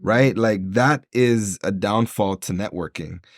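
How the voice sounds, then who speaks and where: A man's voice: fairly low pitch, a little slow, no room sound, studio quality. A man from Jamaica, an entrepreneur guest on a podcast, speaking in an earnest, motivational way with frequent fillers and restarts. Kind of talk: casual interview talk. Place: podcast recording.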